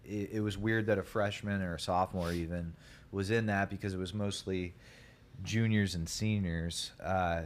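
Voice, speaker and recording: A young man from Ohio with a deep voice, a podcast guest telling his story in a rambling, laid-back way full of fillers. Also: fairly even intonation, moderate pace. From Columbus, Ohio. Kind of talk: casual podcast chat.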